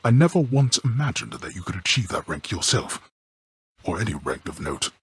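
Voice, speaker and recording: An actor playing a stern, imperious god-king of the underworld in a video game, with formal, commanding, disdainful and measured delivery. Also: lowish voice, very animated, breathy edge, no room sound, full character voice. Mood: formal, grudging. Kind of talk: theatrical scripted video game dialogue.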